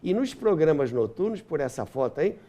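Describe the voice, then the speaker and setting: A man's voice: on the high side, sing-song, brisk, dark tone. A man, telling anecdotes as an interview guest in an enthusiastic, nostalgic way. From Brazil. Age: 70s. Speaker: interview guest, radio historian and author. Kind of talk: animated anecdotal storytelling in an interview.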